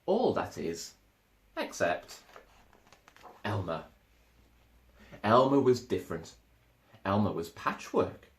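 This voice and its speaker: A man in his thirties giving an expressive narration of a children's storybook. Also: on the low side, slow, long pauses. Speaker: storybook reader. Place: small living room, indoors. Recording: echoey living room.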